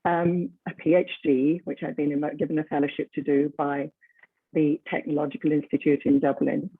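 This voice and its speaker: A woman's voice: sits low, flat delivery, moderate pace, slightly rough, no room sound. A woman in her seventies giving a candid, reflective talk as a guest speaker. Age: 70s. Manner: candid, reflective, laughs easily. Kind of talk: talk on a video call. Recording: dry, phone-like, tinny call audio.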